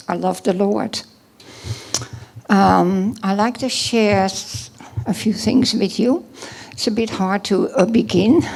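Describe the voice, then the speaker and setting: Woman, Dutch accent, church testimony, unhurried, creaky gravelly tone, middle-aged. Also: mid-range voice, darkish tone. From Netherlands. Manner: slow, halting, with many pauses and restarts. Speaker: church member giving testimony. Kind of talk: personal testimony at a church service.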